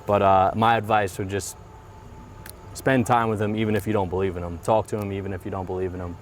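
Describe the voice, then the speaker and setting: Earnest man with a low voice. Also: flat delivery, fast, a little hiss or hum. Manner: earnest, reflective, repeats phrases. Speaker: guest, mortgage business owner. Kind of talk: casual interview chat. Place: outdoors by a parked car.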